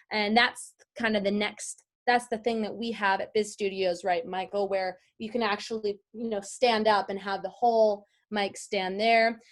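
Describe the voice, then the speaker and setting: A woman voice-over artist teaching a class in a conversational online tutorial, chatty and enthusiastic as she explains. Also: a little high.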